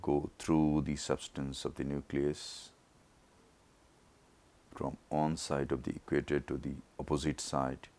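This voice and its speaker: A man narrating his own eye surgery as a teaching lesson, his voice rough from a throat infection, explaining each step in a measured way. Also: extremely low pitch, level tone, a little mellow. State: recovering from a throat infection, voice not normal. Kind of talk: slow surgical teaching narration.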